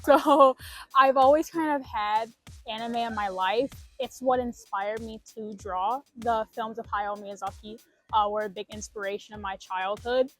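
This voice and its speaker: A young woman from Missouri, a student, chatting with animated, giggly storytelling in an interview. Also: on the high side, measured pace, soft background music. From Missouri, USA. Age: young adult. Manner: giggly and animated when telling stories. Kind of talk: casual chat.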